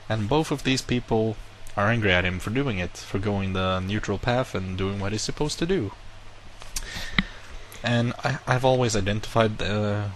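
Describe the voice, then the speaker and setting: A low-pitched man whose first language is not English talks casually to his viewers, rambling through a personal story and stopping to reword himself. A faint hum of room ambience sits under his voice. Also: poor audio. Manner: rambling, self-correcting, animated retelling. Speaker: vlogger. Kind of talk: casual monologue to camera.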